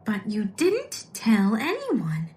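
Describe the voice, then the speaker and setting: An actress playing an excitable, doubtful woman confidante in a comedic audio drama, speaking in a blunt, halting, exclamatory way. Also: medium pitch, a little hiss or hum. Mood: curious, reactive.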